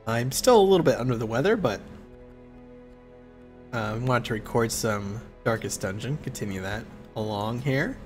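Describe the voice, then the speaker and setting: A man's voice: mid-range voice, normal speed, soft background music. Man with a cold, casual game commentary. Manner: relaxed, thinking aloud with fillers. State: congested from a cold. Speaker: let's play host.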